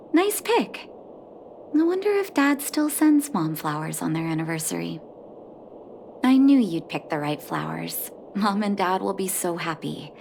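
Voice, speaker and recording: A woman's voice: on the low side, creaky, a little hiss or hum, full character voice. A woman voicing a caring, composed young doctor in a video game, earnest and polite. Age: young adult. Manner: soft-hearted, earnest, polite.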